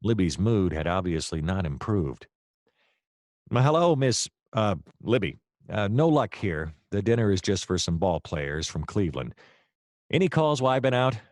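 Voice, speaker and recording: A hapless American private eye from a noir radio play, acted by a man, with a deep voice that swings widely in pitch. He is wry and deadpan, easily flustered, and recorded close and dry. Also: moderate pace, no echo. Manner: wry, deadpan narration, hesitant and flustered in dialogue. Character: hapless, wry male private detective narrating his case. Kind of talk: noir detective narration and dialogue in an audio play.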